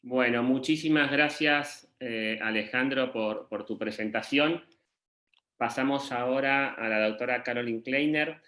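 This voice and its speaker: A man's voice: medium pitch, measured pace, pushed and bright. A man moderating an online masterclass, formally introducing the next speaker and her credentials. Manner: formal, reading a guest's credentials.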